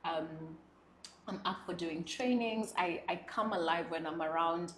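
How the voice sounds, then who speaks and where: A woman's voice: on the low side, measured pace, slight background noise. Earnest young woman in an echoey room. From South Sudan. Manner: earnest, expressive, laughs often, animated when speaking with pride. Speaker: guest. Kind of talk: reflective interview conversation.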